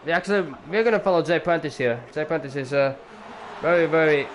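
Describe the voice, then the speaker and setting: A man's voice: fairly high pitch, quick pace, slight background noise. A young man giving live sim-racing commentary as the league's owner, rambling and chatty with jokey asides to viewers.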